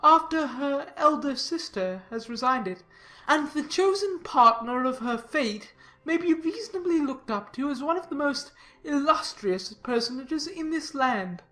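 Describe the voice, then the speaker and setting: A woman's voice: high voice, expressive, fairly bright tone. A woman voicing a pompous, sanctimonious clergyman reading out his formal letter in a dramatised audiobook. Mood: self-important. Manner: pompous, formal, deliberate emphasis.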